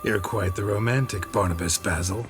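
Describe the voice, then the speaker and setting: An actor playing a stoic, dry witcher in a video game, speaking in terse, deadpan understatement. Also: lowish voice, moderate pace, over music, lightly acted. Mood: wry, understated. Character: laconic, dry, stoic male witcher, master of the estate. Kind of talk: scripted game dialogue.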